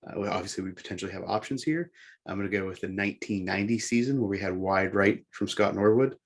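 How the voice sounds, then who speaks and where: Male sports podcast co-host, lower register, giving casual countdown commentary. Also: fast talker.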